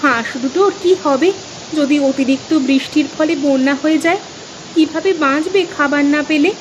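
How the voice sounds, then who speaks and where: A woman's voice: sits high, normal speed, lo-fi sound, background noise, lightly acted. A woman playing a practical, concerned village wife in a cartoon dub.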